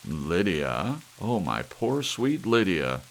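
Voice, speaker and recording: A man's voice: low-pitched, sing-song, slow pace, gravelly, voice pushed up, heavily acted. A man playing a confident, amused husband in an audiobook, reassuring and a little dismissive. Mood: excited, reassuring.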